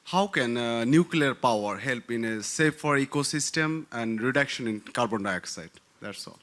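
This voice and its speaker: A man's voice: mid-range voice, lively intonation, moderate pace, a bit bright. Audience man from Bangladesh, hesitant questioner, rephrases a lot. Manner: hesitant, many fillers, rephrases. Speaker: audience member asking a question. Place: conference hall.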